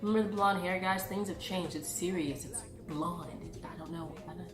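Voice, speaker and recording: A woman's voice: lowish voice, normal speed. A woman in her late twenties with a congested voice from a cold, chatting casually and familiarly to her viewers as a vlogger. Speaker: YouTube vlogger. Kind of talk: casual direct-to-viewer channel update. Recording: roomy echo, background music. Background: music.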